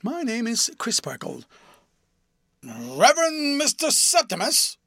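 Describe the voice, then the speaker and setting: A man's voice: very high-pitched, huge pitch swings, slow, creaky, fairly bright tone, no room sound, studio quality, voice pushed up, exaggerated voice. A man voicing a kindly good-humoured clergyman. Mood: friendly. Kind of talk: audiobook character voice.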